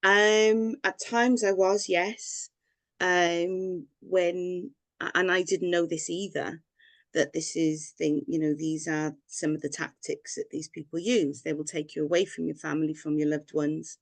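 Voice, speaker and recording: A woman's voice: fairly low pitch, level tone, measured pace, creaky, no echo. Woman, rambling candid storyteller, dry close sound. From Wales. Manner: rambling, personal, laughs often. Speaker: guest. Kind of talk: candid personal story in interview.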